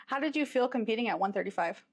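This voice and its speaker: A woman's voice: on the high side, animated, fast, husky. A woman hosting a podcast, chatting casually as she interviews a guest.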